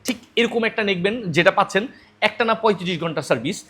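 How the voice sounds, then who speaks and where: A man with a Bangladeshi accent giving a persuasive, repetitive promotional product pitch as a shop salesman. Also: rapid-fire, pushed and bright.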